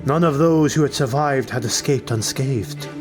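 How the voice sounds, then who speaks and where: A man's voice: medium pitch, moderate pace, over music. A man narrating a fantasy audiobook in a dramatic, scripted storytelling style.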